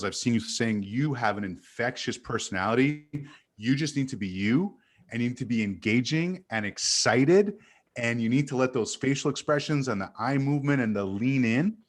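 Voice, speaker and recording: A man from Montreal, an animated guest who tells stories and corrects himself as he chats on a video call. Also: mid-range voice, normal speed. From Canada. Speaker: guest sales speaker. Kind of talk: casual video-call chat.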